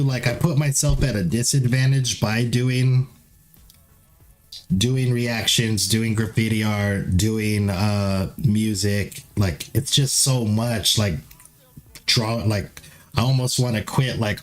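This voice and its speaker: Casual streamer man, lowish voice, rambling delivery, faint music behind. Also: poor audio. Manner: rambling, many fillers and restarts. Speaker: livestreamer. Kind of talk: casual live stream chat.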